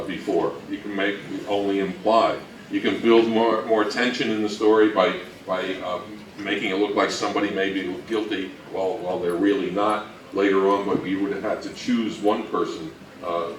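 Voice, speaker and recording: A man giving an informal, halting talk on history as a co-presenter, thinking aloud as he goes. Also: deep voice, steady tone. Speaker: co-presenter at a fan convention talk. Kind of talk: informal lecture on old radio history. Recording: roomy, distant mic with faint noise.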